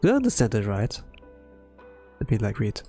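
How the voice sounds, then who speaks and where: A man streaming a casual blind readalong of a game story, thinking aloud and haltingly as he comments. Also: fairly low pitch, wildly swooping pitch, mellow. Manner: halting, thinking aloud between read lines. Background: music.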